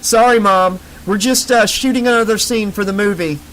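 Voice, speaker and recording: A woman's voice: on the low side, animated, a little slow, a bit bright, a little hiss or hum, voice pushed up, rough recording. A man voicing a bossy, brash young woman directing a homemade film.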